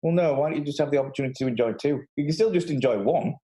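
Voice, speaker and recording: A man's voice: a little high, racing, warm tone. A man hosting a podcast in casual chat, talking in an emphatic, opinionated way. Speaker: podcast host.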